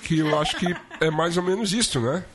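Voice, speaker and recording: A man's voice: on the high side, a little fast, crowd noise. A man hosting a radio show in casual chat, playful and teasing, joking around with curses.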